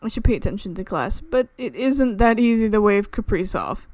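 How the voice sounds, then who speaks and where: A woman's voice: medium pitch, big pitch swings, moderate pace, darkish tone, no room sound. Playful woman reading a visual novel aloud. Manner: playful, stumbles and corrects words while reading. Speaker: gaming channel host.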